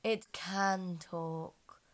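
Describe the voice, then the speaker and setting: A woman's voice: lowish voice, steady tone, drawn-out words. A woman voicing an eager, fussy male messenger.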